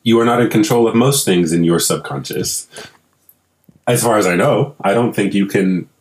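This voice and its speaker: A man's voice: on the low side, moderate pace. A man in his twenties co-hosting a podcast, chatting casually in a candid, joking, profane way. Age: 20s. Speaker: podcast co-host.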